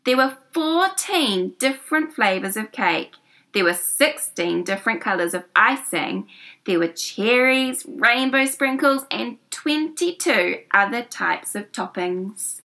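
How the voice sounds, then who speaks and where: A woman reads a children's picture book in a high, very bright voice. She is theatrical and expressive, lingering on words, with lively swings of pitch. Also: slow. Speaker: story reader.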